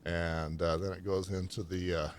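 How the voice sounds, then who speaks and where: A man interviewing a guest in a relaxed, casual way and giving calm advice about microphone setup. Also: sits low, moderate pace, a little hiss or hum. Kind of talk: casual chat.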